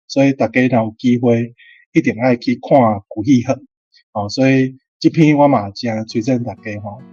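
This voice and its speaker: A man with a Taiwanese accent and a husky voice, explaining things casually as he discusses a story. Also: mid-range voice, a little mellow. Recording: dull, slightly lo-fi dry recording.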